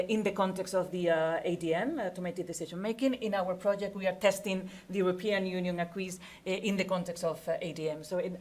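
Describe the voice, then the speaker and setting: A woman's voice: fairly low pitch, darkish tone. A woman moderating an academic conference panel and addressing the speakers and audience.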